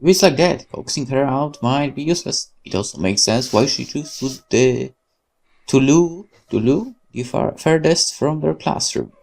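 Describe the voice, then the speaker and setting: Gravelly man reading a game aloud. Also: mid-range voice, moderate pace. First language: Polish. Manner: lively reading with self-corrections and asides. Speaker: let's play host. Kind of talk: reading a horror visual novel aloud.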